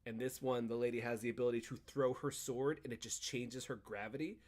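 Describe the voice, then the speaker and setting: A man co-hosting a casual chat, recapping a video game in a rambling, animated storytelling way. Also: medium pitch, a little fast. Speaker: podcast co-host.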